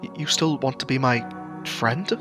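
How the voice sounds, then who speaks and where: A man voicing a proud, eager and surprisingly gentle demon friend in a narrated story, earnest and a little showy. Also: medium pitch, moderate pace, over music, lightly acted. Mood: touched, disbelieving.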